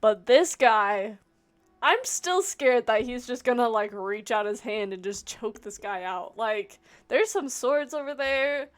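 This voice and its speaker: A woman game streamer giving casual reaction commentary in an expressive, informal, giggly way. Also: a little high, a little slow.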